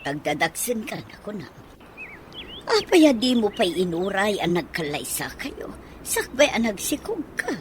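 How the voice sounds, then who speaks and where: A woman's voice: medium pitch, big pitch swings, measured pace, slight background noise. An actress playing a disapproving middle-aged mother in radio drama style, with an Ilocano accent. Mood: reproachful.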